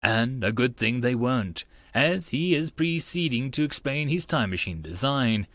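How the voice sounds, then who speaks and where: Guy narrating an audiobook, dramatic and animated, very dry recording. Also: mid-range voice, moderate pace, dry recording. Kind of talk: dramatic first-person story narration.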